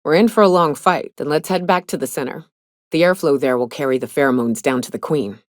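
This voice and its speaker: An actress playing a gruff, sardonic, hard-drinking frontier guide and monster hunter in a video game, with a folksy drawl. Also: female voice, low-pitched, brisk, no echo, studio quality, lightly acted. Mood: determined. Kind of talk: video game character dialogue.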